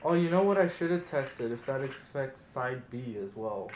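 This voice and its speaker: A man's voice: medium pitch, lively intonation, normal speed. A man presenting a casual hands-on experiment, thinking aloud as he demonstrates. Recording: roomy echo, noticeable background noise.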